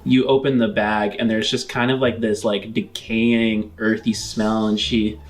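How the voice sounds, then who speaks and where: A man's voice: fairly low pitch, level tone, unhurried. A man narrating an improvised tabletop game as game master.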